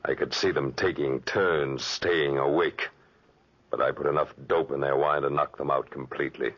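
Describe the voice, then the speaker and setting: An actor playing a huge, sinister murderous robber, deep and gravelly, slow and menacing, in a 1940s radio drama. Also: male voice, fairly even intonation. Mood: coldly menacing. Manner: slow, menacing, matter-of-fact. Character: huge sinister man, murderous robber who drugs guests. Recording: muffled vintage 1940s radio recording.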